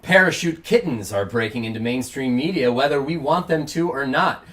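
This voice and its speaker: A man's voice: mid-range voice, animated, normal speed, fairly bright tone. A man hosting a late-night comedy talk show, reading mock news in a dry, mock-serious way and interviewing a guest.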